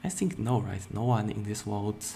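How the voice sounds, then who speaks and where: A young man introducing himself as a virtual streamer and answering questions in a slow, deliberate way, with playful bursts of excitement. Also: on the low side, creaky, a little hiss or hum. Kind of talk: casual self-introduction and Q&A.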